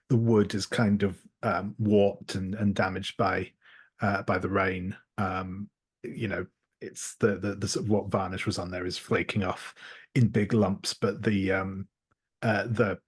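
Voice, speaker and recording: Male game player, lowish husky voice, flat delivery, halting improvised description. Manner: halting, repeats words.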